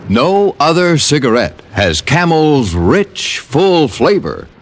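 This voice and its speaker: Old radio sponsor announcer guy, highish lively voice, loud brassy music. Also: slow pace. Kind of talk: scripted 1950s radio announcing.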